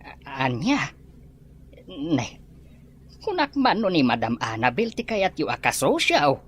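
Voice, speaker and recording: A woman's voice: deep voice, big pitch swings, slow pace, a little hiss or hum, heavily acted. A woman voicing a worried, deferential older male servant who cautions his master in an Ilocano audio drama.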